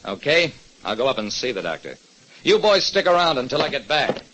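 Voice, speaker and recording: A man's voice: medium pitch, very animated, a little fast, background noise, lightly acted. An actor playing a wry, hard-boiled private detective who narrates his case tersely in an old radio drama. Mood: wry, dramatic.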